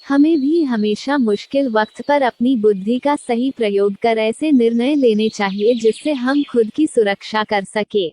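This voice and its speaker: A woman's voice: on the high side, a little slow, few pauses, mellow. A woman presenting children's stories in scripted narration. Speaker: presenter of story title and moral.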